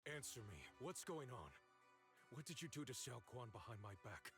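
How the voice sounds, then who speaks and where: Gravelly, intense warrior. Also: male voice, medium pitch, big pitch swings, normal speed. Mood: angry, demanding. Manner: dramatic, forceful, menacing. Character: intense, vengeful young male warrior. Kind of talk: dramatic game voice acting. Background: game music.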